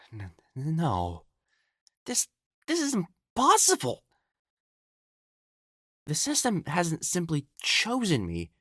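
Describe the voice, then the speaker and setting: A man playing an elegant, proper matchmaker in a dramatic audio roleplay, speaking formally and haltingly as he hides his jealousy. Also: fairly high pitch, huge pitch swings, a bit bright, slightly rough, full character voice. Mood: conflicted, denying. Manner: formal, halting, stammering when flustered.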